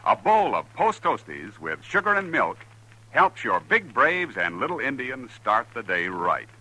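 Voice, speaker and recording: A man announcing and pitching a sponsor in emphatic, salesmanlike 1950s radio style. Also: very animated, measured pace, sharp tone, poor audio. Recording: husky old radio broadcast, faint music.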